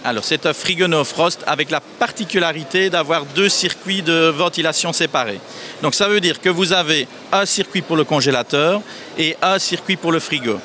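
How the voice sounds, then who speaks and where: Bright-voiced man pitching a product. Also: moderate pace, a little hiss or hum. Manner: explanatory, sales pitch. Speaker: sales representative. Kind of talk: product explanation. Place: busy trade fair stand indoors. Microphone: handheld microphone held by interviewer.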